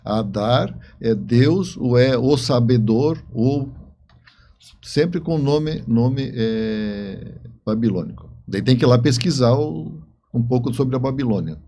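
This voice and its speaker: A man's voice: medium pitch, lively intonation, takes it slowly, dark tone. Brazilian man chatting over faint background noise. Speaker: podcast guest, novelist. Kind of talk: casual chat.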